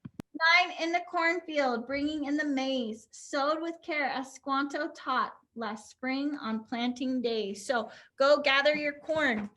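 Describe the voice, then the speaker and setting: A woman's voice: high voice, slow, pushed and bright, rough recording. A young woman teacher leading a pantomime activity online, upbeat and encouraging as she explains each step.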